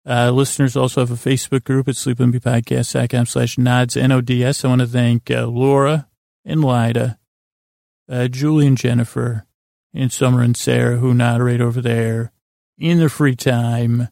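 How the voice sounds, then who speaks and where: Creaky voiced guy doing a sleepy-time podcast, rambles and trips over words, pretty flat pitch, super dry close sound. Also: dry recording. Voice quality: gravelly, creaky. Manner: meandering, halting, trailing off mid-thought and stumbling over words. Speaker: podcast host.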